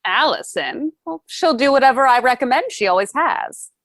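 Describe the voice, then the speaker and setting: A woman's voice: high voice, lively intonation, normal speed, fairly bright tone, dry recording. A woman playing a polite, genteel heiress who asks questions and wants more money, in a table read of a stage musical. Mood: hesitant, self-assured.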